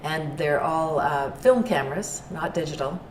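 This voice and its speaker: An older woman from Edmonton, giving thoughtful, unhurried interview answers as an arts venue owner. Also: low-pitched, a little hiss or hum. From Edmonton, Canada. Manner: thoughtful, unhurried, searching for words. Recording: roomy sound, distant mic, background music.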